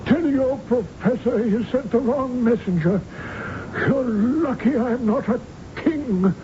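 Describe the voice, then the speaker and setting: A man's voice: very high voice, slow, mellow, slight background noise. An actor playing a fervent, imperious old father devoted to Renaissance art, declaiming grandly in a radio drama. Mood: furious. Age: older. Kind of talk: 1970s radio drama acting. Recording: muffled old radio broadcast, music behind.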